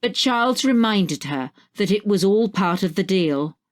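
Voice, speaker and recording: A woman's voice: mid-range voice, expressive, a little slow. A woman narrating a scripted short story.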